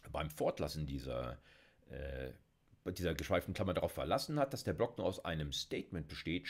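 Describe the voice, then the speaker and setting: A middle-aged man lecturing in a relaxed, chatty way, with many fillers and self-corrections, recorded on a close mic in a small room with keyboard typing behind him. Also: low-pitched. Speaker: university lecturer. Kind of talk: casual recorded video lecture with live coding.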